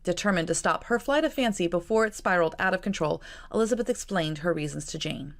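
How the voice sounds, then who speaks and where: A woman reading a novel aloud as narrator, with an expressive, measured, dramatic storytelling delivery. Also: on the low side, vocal fry. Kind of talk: expressive scripted audiobook narration.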